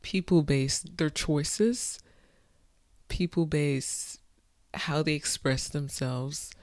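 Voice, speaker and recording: A woman's voice: deep voice, drawn-out words, dry recording, studio quality. Exasperated woman ranting to camera. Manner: incredulous, exasperated, swings between soft mutters and loud outbursts. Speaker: vlogger. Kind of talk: late-night talk to camera. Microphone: phone or camera close up.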